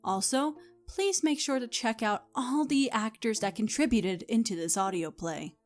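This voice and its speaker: A woman's voice: a little high, animated, normal speed. A woman reading scripted story narration as the narrator of an audio play. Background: music.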